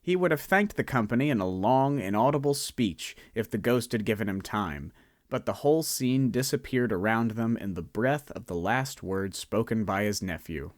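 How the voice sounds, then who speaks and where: A man narrating a radio drama in an expressive storytelling manner. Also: lowish voice, normal speed, no echo, clean studio sound. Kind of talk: scripted narration.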